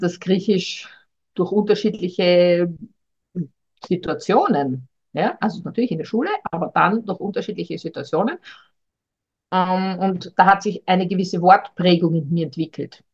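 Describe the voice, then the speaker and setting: A woman's voice: lowish voice, slightly rough. A middle-aged woman, speaking as a communication coach in a thoughtful, hesitant way and addressing the listener directly. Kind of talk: reflective advice monologue. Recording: dull lo-fi recording.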